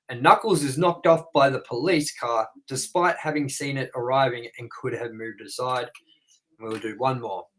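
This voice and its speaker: A man's voice: mid-range voice, fairly bright tone, rough recording. A man co-hosting a movie review show, reading trivia and goofs from his notes in a casual way and swearing offhandedly.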